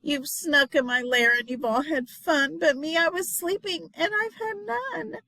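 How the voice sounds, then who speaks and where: A woman puts on a big brown bear from a picture book. It is loud and grumbling, full of self-pity. The pitch climbs high as it complains. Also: unhurried, no echo, exaggerated voice. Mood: indignant, hurt.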